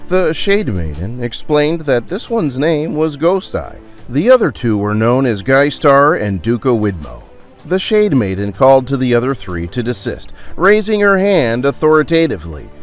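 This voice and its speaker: A man narrates a dramatic audio story with a very lively, varied pitch. His scripted storytelling plays over a music bed. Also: normal speed. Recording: music under the voice.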